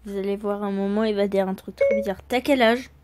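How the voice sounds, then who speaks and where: A woman's voice: mid-range voice, fairly even intonation, a little fast, very noisy. A woman hosting a casual vlog, impatiently repeating the same question to a phone. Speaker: channel host.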